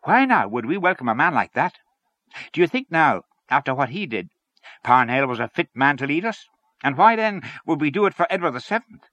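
Storybook idealist, a male reader acting: argumentative, principled, sharp tone, raised pitch. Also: sing-song, brisk, no room sound. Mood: indignant, questioning. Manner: argumentative, questioning. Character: Lyons, argumentative, principled Irish male political worker.

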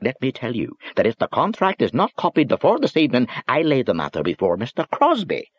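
A man voicing a shrill, bullying little male office boss with a piercing Northern Irish accent, scolding and sarcastic, in an audiobook. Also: wildly swooping pitch, brisk, slightly rough, no echo. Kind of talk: audiobook character voice.

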